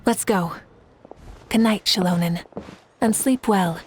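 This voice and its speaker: A woman playing a confident, warm-hearted female leader with a playful side in a video game, speaking in an assured, teasing way. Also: mid-range voice, slow, a little hiss or hum, lightly acted. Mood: assured, caring.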